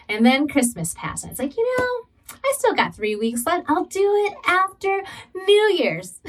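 A woman from Southern California preaching a sermon and telling her own faith story in an earnest, deliberate way. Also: a little high, distant mic, rough recording. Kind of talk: sermon with personal testimony.

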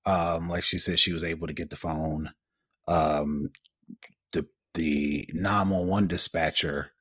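A man's voice: low voice, steady tone, slow pace, sharp tone, breathy edge, no echo, studio quality. A man co-hosting a podcast, giving conversational commentary on tech news. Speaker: podcast co-host.

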